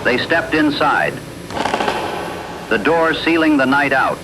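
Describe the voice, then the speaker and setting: Higher-voiced man narrating horror, loud noise. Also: lively intonation, slow. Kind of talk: scripted horror story narration. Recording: loud rumbling radio-like background noise.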